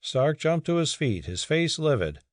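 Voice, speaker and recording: A man's voice: fairly high pitch, very animated, unhurried, no room sound, studio quality. A man narrating a dramatic audiobook from a script.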